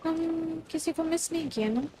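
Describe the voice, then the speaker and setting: A woman's voice: very high voice, racing, mellow, soft background music. A woman with an Indian accent giving casual live gaming commentary as a streamer, switching between Hindi and English. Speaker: game streamer.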